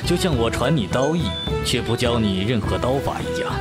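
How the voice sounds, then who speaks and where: Guy doing middle-aged kung fu master dad, mid pitch, unhurried n teasing, audio drama with music under it. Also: animated, lightly acted. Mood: avuncular, instructive. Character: avuncular, teasing martial-arts master, a middle-aged father. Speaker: voice actor. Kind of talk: Chinese wuxia audio drama acting.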